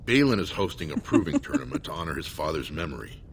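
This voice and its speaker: Low-voiced, solemn dwarven soldier. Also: male voice, very animated, gravelly, slight background noise, exaggerated voice. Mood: businesslike. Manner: formal, earnest, measured. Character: loyal dwarven soldier, solemn supporter of his lord. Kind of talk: scripted video game dialogue.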